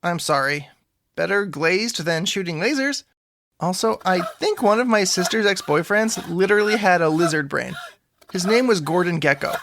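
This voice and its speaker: A man playing an anxious, awkward young man in an audio drama, sheepish and rambling with deadpan jokes and a creaky voice. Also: sits high, moderate pace. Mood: joking, rambling. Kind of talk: audio drama dialogue, conversational.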